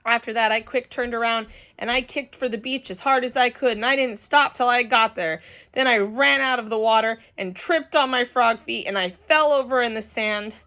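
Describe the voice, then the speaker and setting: A woman reading a children's book aloud as a storyteller, expressive and dramatic, telling it as the child narrator. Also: on the high side, normal speed.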